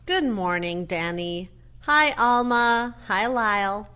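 A woman playing a gentle, earnest daughter in an audio play, measured and reflective. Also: mid-range voice, clear, resonant tone. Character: gentle, earnest daughter visiting her mother in care.

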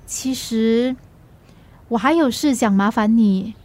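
High-voiced troubled Singaporean wife. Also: female voice, slow pace, darkish tone. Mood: troubled, subdued. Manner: emotional, pleading. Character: troubled pregnant wife, anxious and easily wounded. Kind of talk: radio drama acting.

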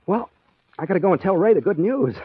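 An actor playing an affable, easygoing private detective in 1940s radio style, chatty and a little hesitant around women. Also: male voice, sits high, animated, fast, slightly rough, dry recording. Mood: bashful, courting. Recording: tinny 1940s radio recording, music.

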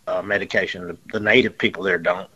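A man, a guest on a radio show, telling stories in an animated way and often repeating himself. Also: deep voice, fast talker, breathy edge, dull audio. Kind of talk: storytelling in casual chat.

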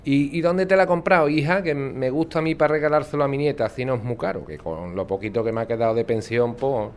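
A man's voice: mid-range voice, fast, close to an everyday voice. Guy doing a sweet chatty old sevillian granny in an audio drama, folksy and curious. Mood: curious, admiring. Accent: Sevillian Andalusian. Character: chatty, kindly, curious elderly Sevillian grandmother. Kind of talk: naturalistic audio drama dialogue.

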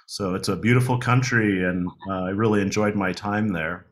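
A man hosting a podcast interview, asking questions in a relaxed, hesitant way with many fillers and restarts. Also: on the low side, moderate pace. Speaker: podcast host. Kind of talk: conversational interview.

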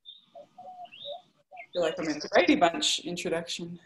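A woman's voice: on the low side, lively intonation, moderate pace, slight background noise. A woman hosting a casual group video call from home, effusive and chatty, thinking aloud as she goes. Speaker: host of a community video call. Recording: roomy video call audio.

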